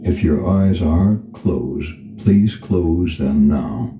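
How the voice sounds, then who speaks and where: A man leading a guided meditation as a calm spiritual teacher, speaking in a gentle, unhurried, instructional manner. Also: sits low, lo-fi sound. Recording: echoey reverb over background music. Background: music.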